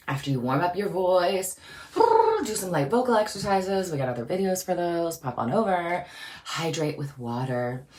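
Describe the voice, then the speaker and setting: A woman hosting her channel, giving chatty, upbeat voice-over tips in a casual teaching style. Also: low-pitched. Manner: upbeat, chatty, lively emphasis. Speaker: voice-over coach and channel host. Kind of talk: casual instructional talk to camera.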